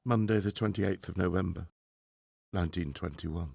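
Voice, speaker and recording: Radio drama lead: male actor as grieving, driven middle-aged professor, formal 1920s manner, low gravelly voice. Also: darkish tone, no room sound, exaggerated voice. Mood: sorrowful, solemn. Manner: formal, earnest, halting when troubled. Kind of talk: radio drama acting with diary narration.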